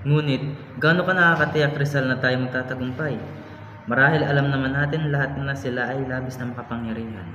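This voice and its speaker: A concerned, generous friend played by a man speaks in a radio drama. His medium-pitched voice comes through muffled, in a very echoey hall. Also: expressive, fast, hardly any pauses, darkish tone, a little hiss or hum, lightly acted. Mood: doubtful. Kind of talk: student radio drama acting.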